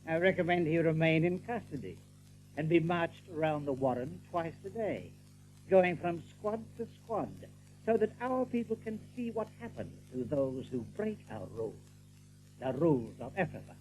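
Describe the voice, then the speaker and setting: A commanding male rabbit general , acted by a man, holds forth in an audiobook drama. The voice sits on the high side, measured and formal with cool menace. A faint hiss and hum lie under it. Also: expressive, darkish tone, close to an everyday voice, low-quality recording. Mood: quietly menacing.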